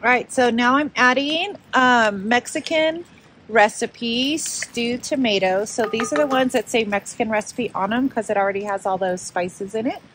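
A chatty woman in her thirties walks through a recipe while cooking outdoors at a mountain campsite. Her voice is on the high side, and she explains each step in a relaxed way. Faint outdoor noise sits behind her. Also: normal speed. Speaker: camp cook, co-host. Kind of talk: casual cooking vlog.

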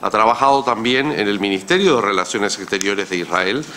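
A middle-aged man moderating a panel in a conference hall, giving a formal, measured introduction of a guest into a table microphone. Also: fairly low pitch, sharp tone, slight background noise. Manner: measured, ceremonious delivery with pauses. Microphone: gooseneck table mic.

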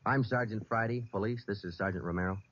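A man with an American accent playing a terse, deadpan police sergeant in an old-time radio crime drama, both narrating and questioning. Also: on the low side, fast talker, slight background noise, close to an everyday voice. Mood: businesslike. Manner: clipped, deadpan, matter-of-fact. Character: terse, businesslike male police sergeant narrating his case. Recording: muffled vintage radio recording with music.

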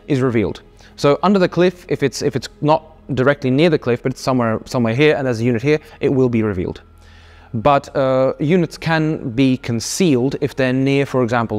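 A man's voice: mid-range voice, darkish tone. A man explains technical ideas at an easy, conversational pace. He stumbles through fillers and restarts as he works out his points. He sounds like he is talking across a desk in an office interview. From Australia. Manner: explains with lots of fillers, restarts and hand gestures. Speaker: game developer. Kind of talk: casual interview answers. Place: indoor office studio.

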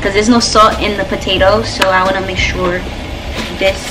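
A young woman talking casually while she cooks in her home kitchen, relaxed and unhurried with plenty of fillers. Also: mid-range voice, background noise. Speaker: cooking vlogger. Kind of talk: casual cook-along narration. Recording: loud background music.

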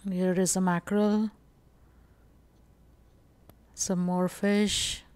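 Woman, from India, vlogger, calm explanatory narration, frequent fillers, lowish voice. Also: extremely slow, clean tone, dry recording. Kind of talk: casual shopping walkthrough voice-over.

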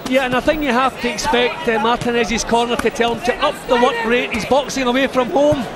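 A man's voice: very high voice, fairly even intonation, fairly quick. A man giving expert co-commentary at a boxing match, analyzing the boxers' tactics and the referee's calls. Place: arena ringside. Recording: broadcast with loud crowd noise. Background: loud arena crowd.

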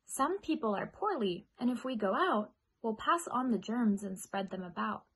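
A woman voicing a caring, earnest female nurse in a children's storybook, instructive and husky. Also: a little high, expressive, normal speed, fairly bright tone. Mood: earnest, gently urging.

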